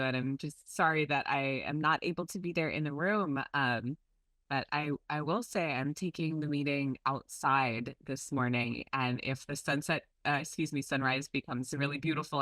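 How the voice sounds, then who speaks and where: Bright-voiced woman on a video call. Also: extremely low pitch, no room sound. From Hawaii. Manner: warm, earnest, with frequent ums. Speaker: community relations presenter. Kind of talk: conference talk over video call. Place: outdoors at home.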